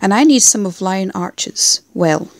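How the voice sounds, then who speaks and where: A woman's voice: mid-range voice, expressive, unhurried, gravelly, no echo, studio quality. A woman playing a cocky, menacing rogue in an audio drama, taunting and self-assured. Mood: cool menace. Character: cocky, mocking, menacing female rogue troublemaker. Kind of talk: fan audio drama acting.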